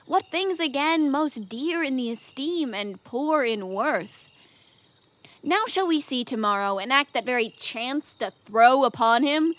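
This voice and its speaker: A young woman plays a shrewd Greek commander in a Shakespearean audio drama. The voice is fairly high, and the verse is delivered in a deliberate, persuasive, rhetorical way. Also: animated, fairly bright tone. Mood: feigned wonder, goading. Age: young adult. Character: Ulysses, shrewd, wily Greek commander, a man.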